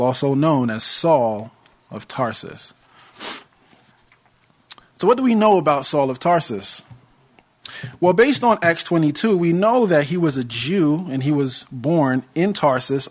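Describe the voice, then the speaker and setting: A young American man preaching a sermon in a measured, earnest way, with touches of light humor. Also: on the high side. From United States.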